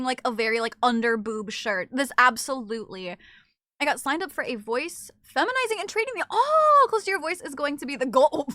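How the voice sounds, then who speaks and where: A woman's voice: high voice, bright, forceful tone, no room sound, clean studio sound. A young woman streaming, chatting casually with viewers, teasing and swearing freely. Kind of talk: casual live-stream chat reading viewer comments.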